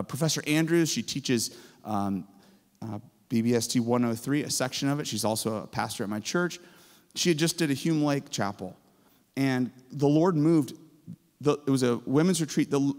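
A man in his forties, a professor giving an energetic chapel sermon on stage with a handheld mic, excitable and booming, full of storytelling. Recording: hall reverb.

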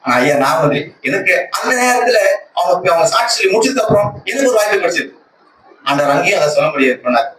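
A man with a Tamil Nadu accent gives an earnest, emphatic religious testimony to a congregation, repeating phrases to stress them. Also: high voice. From Tamil Nadu, India. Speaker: church member giving a testimony, lay speaker. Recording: echoey, distant conference call with loud noise.

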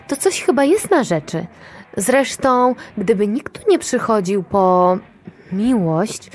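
An actress playing a worldly, resolute older woman manager in an audio drama, phrasing things deliberately and floridly. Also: high voice, a little mellow.

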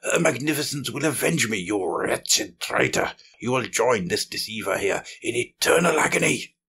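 A proud serpent warrior woman of fantasy fiction, played by a male narrator, spits out each word with venom. The voice is husky and emphatic, full of vengeful zeal. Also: sits low, expressive, measured pace, heavily acted. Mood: shrieking fury.

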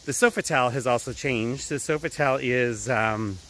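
Bright-voiced man on a walk. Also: medium pitch, moderate pace. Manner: conversational, talking while walking. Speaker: travel agent giving video updates. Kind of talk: casual walk-and-talk vlog update. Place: outdoors, walking through autumn woods. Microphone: phone at arm's length, earbuds worn. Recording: outdoor phone recording with background noise.

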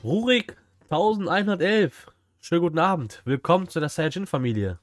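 A man's voice: a little high, expressive, a little fast, no echo, faint music behind. Man, 30s, livestream reactor; casual, slangy, direct to chat, dry room sound. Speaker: streamer reacting to a flea market video. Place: small indoor room, streaming setup.

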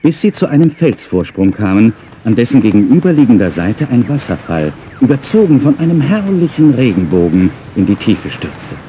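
A man's voice: mid-range voice, very animated, normal speed, few pauses, mellow, a little hiss or hum. A man narrating a scripted children's audio story.

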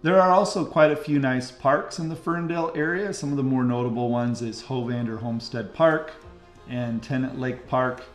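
A man's voice: mid-range voice, fairly even intonation, normal speed, reverberant, soft background music. A middle-aged American man giving a casual, chatty guided commentary in the manner of a local real estate host. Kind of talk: casual map-tour commentary. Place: indoor room, screen recording with webcam.

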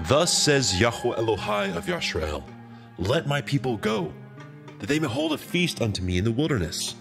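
An actor playing an earnest, pleading Hebrew prophet in a dramatized scripture reading. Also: male voice, medium pitch, sing-song, normal speed, gravelly. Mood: proclaiming. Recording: music behind the voice.

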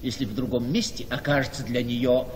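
An actor playing a cynical, mocking gentleman in a radio play, with a deliberate, sardonic, theatrical delivery. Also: medium pitch, steady tone, natural voice. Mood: sardonic, lecturing. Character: cynical, mocking gentleman needling a friend's young wife. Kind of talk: Russian classic radio play acting. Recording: reverberant hall-like studio, faint noise.

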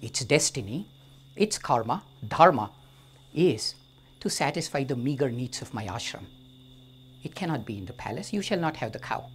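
A man voicing a calm old forest sage. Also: medium pitch, moderate pace, a little hiss or hum, natural voice. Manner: gentle, firm, unbending.

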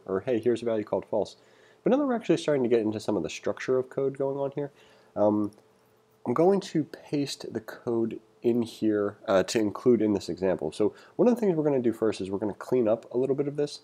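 A man's voice: mid-range voice, very animated, a little fast, warm tone. A man giving an informal read-along coding tutorial, thinking aloud in a casual manner as an instructor.

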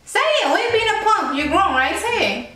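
High bright-voiced young Angolan woman. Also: very animated, normal speed. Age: 20s. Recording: roomy echo from far off with faint music.

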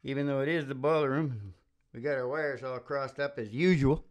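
An older man hosting a homemade show with informal, halting, joking banter into a stage microphone. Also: mid-range voice. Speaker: radio-style show host and country singer. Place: small dim wood-panelled room, indoors. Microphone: vocal microphone on a stand. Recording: dull lo-fi live recording with guitar.

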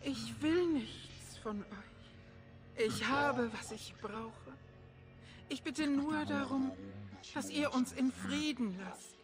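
A woman playing a seductive, possessive and self-assured female desire demon in a fantasy game, with silky, deliberate delivery. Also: high-pitched, over music. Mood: persuasive, proud. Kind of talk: dubbed fantasy game acting.

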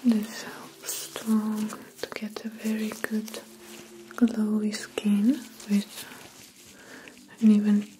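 A young woman speaking in a hushed, breathy, whispery voice, in a soft-spoken ASMR medical role play as a dermatologist, with halting phrases. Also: medium pitch, monotone, slight background noise, low-quality recording. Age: young adult. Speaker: ASMR performer playing a dermatologist. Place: small indoor room set up as an office.

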